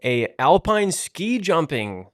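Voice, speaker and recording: A man's voice: fairly high pitch, very animated, slow pace, no room sound, studio quality. A man hosting a podcast in a casual, upbeat, chatty way. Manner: chatty, upbeat, full of fillers. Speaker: podcast host.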